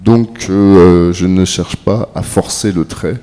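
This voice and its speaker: Panel filmmaker, deep mellow voice, halting delivery, hall reverb. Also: male voice, fairly even intonation. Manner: slow and halting, many pauses and fillers. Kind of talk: hesitant answer at a public conference. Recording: echoey hall.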